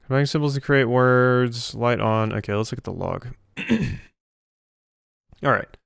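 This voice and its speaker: Clear-voiced man musing through a game. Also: mid-range voice, a little slow, a bit bright, no echo, clean studio sound. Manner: musing, questioning himself. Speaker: let's play host. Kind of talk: casual gameplay commentary, thinking aloud.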